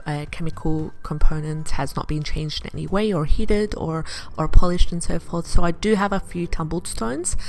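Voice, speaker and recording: Chatty woman from Iraq, lowish voice. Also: darkish tone. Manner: chatty, enthusiastic, with frequent "uh" pauses. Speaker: vlogger. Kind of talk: casual vlog narration.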